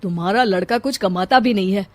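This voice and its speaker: A woman's voice: mid-range voice, a little fast, a little hiss or hum, close to an everyday voice. A woman voicing a blunt, reproachful middle-aged relative in a moral-story cartoon, speaking frankly. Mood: reproving.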